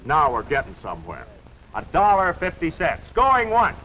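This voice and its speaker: An actor playing a brisk, coaxing American auctioneer at a social event in a 1950s film. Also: male voice, on the high side, wildly swooping pitch, sharp tone, slight background noise, heavily acted, poor audio. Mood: upbeat, urging.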